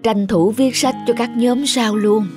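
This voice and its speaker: A man voicing a gentle, ailing father in a cartoon drama, weary, soothing and forbearing. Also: very high-pitched, fairly even intonation, measured pace, over music.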